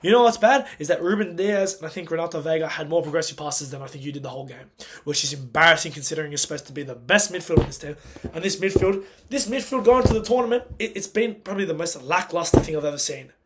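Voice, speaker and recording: A man who has lost his voice, giving a casual post-match reaction rant as a football fan. He is agitated and emphatic, and his voice climbs in pitch and loudness when he gets angry. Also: fast, noisy background. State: hoarse, lost voice.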